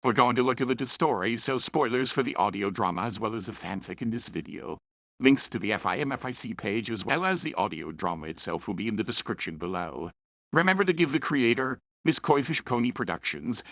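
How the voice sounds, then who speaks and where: Animated man reviewer with a gravelly edge. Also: mid-range voice, a little fast, no room sound. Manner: chatty, self-aware, opinionated. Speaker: host and reviewer of fan fiction and audio dramas. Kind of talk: scripted review narration with playful asides.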